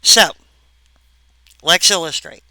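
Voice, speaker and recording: Lady lecturing on philosophy, slow and halting, very bright tone, lots of wry little asides. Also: fairly low pitch, dry recording. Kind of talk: recorded lecture.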